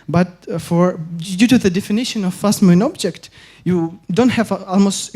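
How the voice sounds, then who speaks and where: A young man from Ukraine giving an academic conference talk, halting with frequent pauses and restarts. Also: high-pitched, mellow. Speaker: conference speaker.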